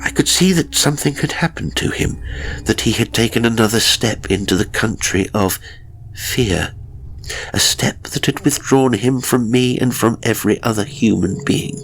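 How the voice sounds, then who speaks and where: A man narrating a first-person horror story dramatically, in a measured, suspenseful way with deliberate pauses. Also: mid-range voice, soft background music, poor audio. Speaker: audiobook narrator.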